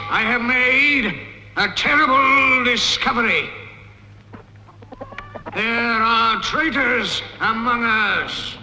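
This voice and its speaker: An actor voicing a pompous tyrant pig in a cartoon, declaiming to farm animals in an oily, accusing way. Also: male voice, high voice, roomy sound, in a large hall, full character voice, poor audio. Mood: menacing, accusing.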